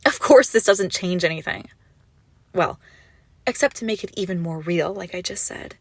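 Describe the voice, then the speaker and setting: A woman playing an obsessive, gushing yandere kidnapper in a roleplay audio drama, menacingly sweet, with breathy, doting coos. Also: fairly low pitch, animated, moderate pace, no room sound. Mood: lovestruck, possessive. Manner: breathy doting coos, often dropping to whispers. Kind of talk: roleplay audio drama, intimate monologue to listener.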